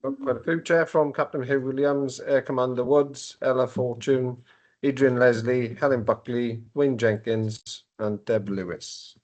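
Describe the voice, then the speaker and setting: A man from the council's democratic services reads out a list of names at a meeting. His pitch is mid-range, and he works through the list one name at a time. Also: steady tone, measured pace, a little mellow, poor audio.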